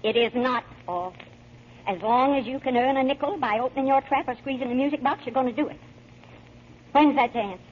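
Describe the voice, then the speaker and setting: Casting: actress as commanding middle-aged mother, scolding and slangy, fairly high voice, muffled old radio drama. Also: a little fast, lightly acted. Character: bossy, sharp-tongued mother of grown sons. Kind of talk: 1940s radio drama acting. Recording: muffled, faintly noisy vintage radio broadcast.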